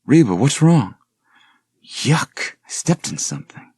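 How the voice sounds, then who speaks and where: An anxious college girl in an audiobook thriller, played by a gravelly man. The voice is pushed well up from the reader's own, urgent and breathless, in a dry recording. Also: fairly high pitch, sing-song, a little slow, a little mellow, dry recording, voice pushed up, full character voice. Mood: alarmed, disgusted. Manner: urgent, exclaiming.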